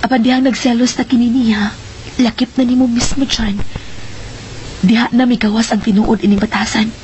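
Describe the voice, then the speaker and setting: A female actor's fairly high-pitched, anxious woman in a radio serial, speaking earnestly and with emphasis. There is faint noise on the recording. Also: little pitch movement, moderate pace, close to an everyday voice. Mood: earnest, troubled. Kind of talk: radio drama acting.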